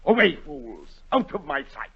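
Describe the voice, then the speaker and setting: A man's voice: on the high side, very animated, moderate pace, a loud crowd. An actor playing an imperious, impatient, pompous king in a 1940s radio drama. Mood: exasperated. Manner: pompous, commanding. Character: imperious, impatient, blustering king of a storybook realm. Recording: dull, raspy-edged 1940 radio recording.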